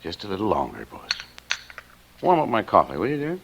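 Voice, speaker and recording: A man's voice: fairly low pitch, wildly swooping pitch, vocal fry, background noise, full character voice. An actor playing a middle-aged father in an old radio drama, genial and mock-formal, firm when pestered. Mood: contented, teasing. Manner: measured, jocular, mock-formal, sternly paternal. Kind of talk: 1940s-50s radio drama acting.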